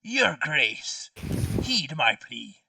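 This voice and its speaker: A man's voice: a little high, big pitch swings, extremely slow, vocal fry, far from the mic, background noise, exaggerated voice. A man playing an aggrieved, pompous nobleman in an amateur game, pleading with theatrical formality. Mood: grave lament. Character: aggrieved pompous nobleman petitioning the king, grave and dramatic. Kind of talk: amateur role-play in a party game.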